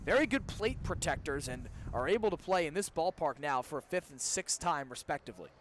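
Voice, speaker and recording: A young man with a fairly high voice calls a baseball game live for radio. He works as the play-by-play announcer, describing the action as it unfolds. Ballpark noise is audible behind him. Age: young adult. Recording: clear background noise, live ballpark broadcast.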